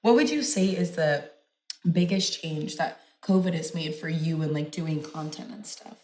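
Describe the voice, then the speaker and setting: Bubbly podcast host, a woman with a lowish voice, recorded in a roomy, echoing space. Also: moderate pace, darkish tone, a little hiss or hum. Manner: upbeat, chatty, frequent "that's awesome". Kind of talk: casual interview chat. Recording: echoey room.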